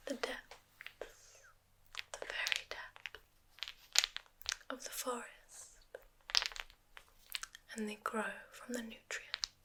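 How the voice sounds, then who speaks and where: A woman voicing a gently sinister female forest witch in an ASMR roleplay, whispering softly with teasing dark humor. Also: a little high, poor audio. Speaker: ASMR creator.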